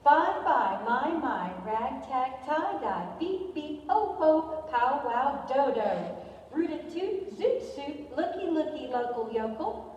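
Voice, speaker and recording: Echoey high-voiced little schoolgirl acted by a woman. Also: sing-song, takes it slowly, a little mellow, lo-fi sound, heavily acted. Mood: playful, emphatic. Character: Lulu, an earnest, chatty little schoolgirl. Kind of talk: readers theater performance. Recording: distant, echoey hall with faint noise.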